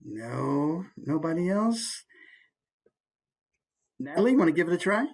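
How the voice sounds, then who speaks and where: A woman's voice: sits low, expressive, a little slow, darkish tone. A woman teaching a workshop, coaching a group casually in an encouraging way.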